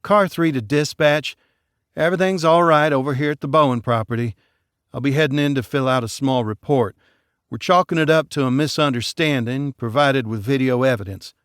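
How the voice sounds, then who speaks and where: A man voicing a level-headed female police officer with a brisk, steady delivery. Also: medium pitch, clean tone, no echo, clean studio sound, pitched above the performer's own voice, natural voice.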